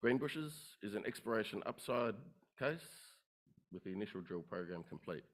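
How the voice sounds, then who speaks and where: A man delivers a conference pitch in a lowish voice. He moves briskly through rehearsed lists of numbers and points. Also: animated. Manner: brisk, rehearsed, listing facts. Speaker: company presenter. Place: conference room.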